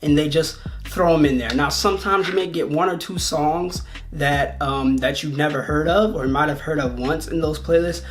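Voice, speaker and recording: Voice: medium pitch, steady tone, normal speed, few pauses, soft background music. A video host, delivering conversational video essay commentary.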